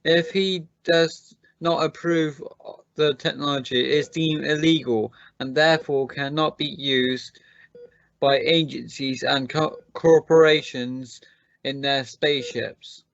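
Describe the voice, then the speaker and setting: A man playing a defensive, accusing scientist in an amateur radio drama, speaking in a halting, formal and deliberate way. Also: a little high, fairly even intonation, creaky, fairly bright tone, no room sound, muffled recording, full character voice. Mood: earnest, suspicious. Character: defensive, accusing male scientist on a space mission. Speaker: amateur radio play actor.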